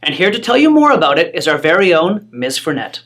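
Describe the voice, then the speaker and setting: A presenter reading a school video newsletter in a measured, formal way. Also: medium pitch, sing-song, a bit bright. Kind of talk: scripted announcement narration.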